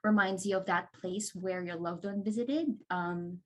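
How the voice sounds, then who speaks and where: A woman's voice: on the low side, steady tone, a little slow. Friendly woman. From Philippines. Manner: friendly, explaining, light laughs. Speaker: participant introducing herself. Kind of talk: casual video call chat. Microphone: video call.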